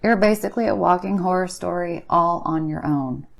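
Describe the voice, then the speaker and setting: Woman acting a pushy, petulant low-voiced friend. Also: moderate pace, vocal fry. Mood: insistent. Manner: insistent, whiny, sarcastic. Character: bored, pushy, petulant woman demanding holiday fun. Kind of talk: comic phone-call audio sketch, conversational.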